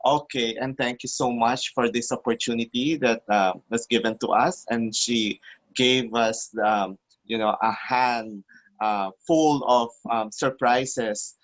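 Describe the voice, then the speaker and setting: Male host, mid-pitch voice, hesitant and filler-heavy. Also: steady tone, poor audio. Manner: halting, with many fillers. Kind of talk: casual online show hosting.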